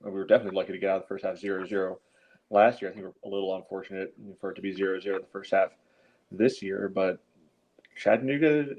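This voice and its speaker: A man's voice: low-pitched, steady tone, fast talker, a little mellow, rough recording. A man giving casual sports analysis as a podcast panelist, explaining things in a chatty, conversational way. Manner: explanatory, peppered with "you know".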